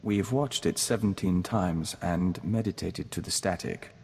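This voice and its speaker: An actor playing a gentle, earnest man joined to an insect hive mind, measured and formal in scripted game dialogue. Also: low-pitched, vocal fry. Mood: reflective. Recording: noisy game capture with long reverb.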